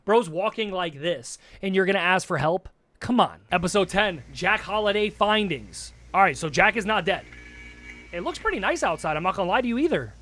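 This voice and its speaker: A man's voice: sits high, animated, brisk, bright, forceful tone. A man giving casual, slangy, mocking commentary as he reacts to a horror series, often repeating phrases for emphasis. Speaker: reaction video host.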